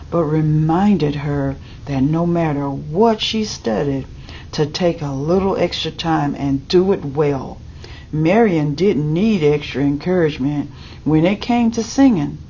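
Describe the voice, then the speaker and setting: Low-voiced woman slowly reading a storybook. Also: darkish tone. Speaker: storybook reader. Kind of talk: reading a children's picture book aloud. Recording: dull lo-fi audio with background noise.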